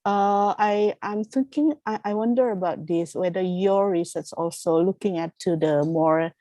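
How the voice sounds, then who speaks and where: A woman's voice: fairly low pitch, normal speed, mellow, dry recording. A woman asks a thoughtful, hesitant question at a conference. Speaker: audience member asking a question.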